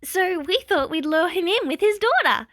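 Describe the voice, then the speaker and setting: A woman voicing an excitable, bloodthirsty teenage villain girl in a story, bubbly and blunt. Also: very high-pitched, normal speed, dry recording, studio quality, pitched above the performer's own voice. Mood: gleeful. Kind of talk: dramatic fan fiction reading.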